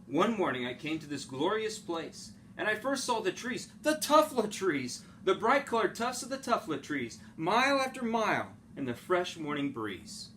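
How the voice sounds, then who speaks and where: Animated man reading a children's story. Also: sits high, normal speed, few pauses, slight background noise, poor audio. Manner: dramatic, theatrical phrasing. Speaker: teacher reading a picture book aloud.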